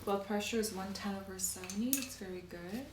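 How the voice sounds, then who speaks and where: A woman speaks softly at a medium pitch, in the manner of an ASMR doctor. She is gentle and unhurried as she questions and instructs a patient. The room adds noticeable echo, and there is clear noise behind her. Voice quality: soft-spoken. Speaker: ASMR creator playing a doctor doing a medical exam. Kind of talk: soft-spoken ASMR medical roleplay. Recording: roomy echo, clear background hiss.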